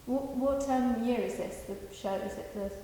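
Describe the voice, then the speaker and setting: A woman's voice: fairly high pitch, normal speed, warm tone. A woman asking questions as the interviewer in an informal interview. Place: large village hall, indoors. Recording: extremely echoey hall, clear background noise.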